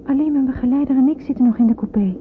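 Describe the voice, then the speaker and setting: An actress playing a frightened, lonely young refugee in a radio drama, with hesitant, wistful first-person narration. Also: female voice, high voice, very fast, close to an everyday voice. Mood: drowsy, uneasy. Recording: muffled, noisy old radio play recording.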